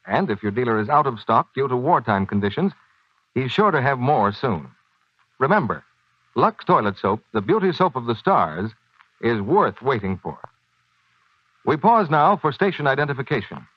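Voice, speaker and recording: A man's voice: mid-range voice, sing-song, moderate pace, no echo. A man announcing on 1940s radio in a polished, persuasive scripted sales style. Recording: dull, dry 1940s radio broadcast.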